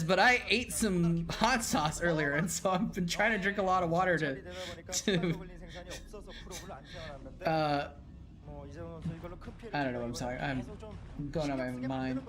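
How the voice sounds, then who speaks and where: A man's voice: on the high side, pushed and bright. A man streaming and chatting casually as a former game caster, rambling and correcting himself as he answers viewers, his voice tired after hours of talking. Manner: rambling, self-correcting, reads and answers chat aloud. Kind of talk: casual live-stream chat while watching old matches.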